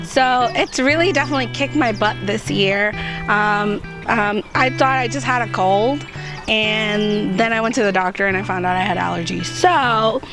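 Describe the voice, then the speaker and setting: A woman with a slightly hoarse voice, hosting a gardening vlog in an upbeat, chatty way as she walks through each step outdoors. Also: medium pitch, moderate pace. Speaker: gardening channel host. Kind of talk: casual how-to chat to camera. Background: background music.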